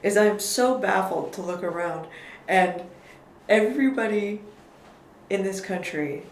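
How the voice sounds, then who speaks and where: A woman's voice: medium pitch, measured pace. A woman guest in a casual political conversation, thoughtful and careful with her words, often restarting her sentences. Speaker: podcast guest. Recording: echoey room with faint noise.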